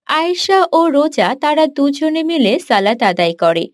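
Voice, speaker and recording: A woman's voice: high voice, sing-song, unhurried, no echo, clean studio sound. A woman narrating a scripted children's story as the narrator.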